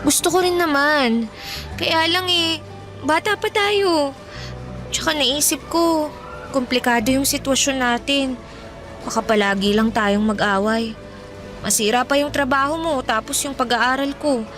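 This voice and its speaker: An actress playing a soft-spoken, sensible teenage girl who gently reasons about young love in a radio drama. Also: high-pitched, measured pace, pauses often, music in the background. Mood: tender.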